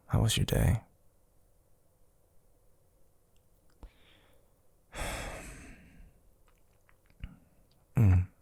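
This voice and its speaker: A man playing an affectionate, teasing young boyfriend in an intimate ASMR roleplay, murmuring softly and hesitantly with a breathy, gravelly voice. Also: low-pitched, no echo, heavily acted. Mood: sleepy, attentive. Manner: soft, hesitant, drawling, teasing.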